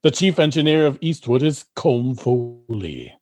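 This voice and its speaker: A man's voice: mid-range voice, very animated, unhurried, darkish tone. A man narrating a comic sci-fi radio drama in a dry, announcer-like manner.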